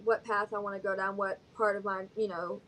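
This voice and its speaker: A woman's voice: mid-range voice, flat delivery, a little fast, a bit bright, rough recording. Bubbly young Southern woman, giggly. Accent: Southern American. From Alabama, US South. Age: young adult. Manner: bubbly, giggly, many fillers. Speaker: singer-songwriter guest. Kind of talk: casual interview chat.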